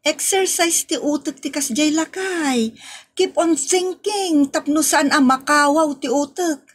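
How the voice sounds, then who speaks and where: A woman playing a busy, scattered middle-aged wife in an amateur comedy skit who chats through her excuses. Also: sits high. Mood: chatty. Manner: chatty, quick explanations.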